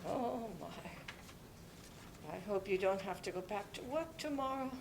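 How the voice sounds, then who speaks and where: A woman playing a warm, fussy, motherly older neighbour in a dramatic play reading, doting and fretful as she chats. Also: mid-range voice, animated, a little slow, background noise. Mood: awkwardly caring.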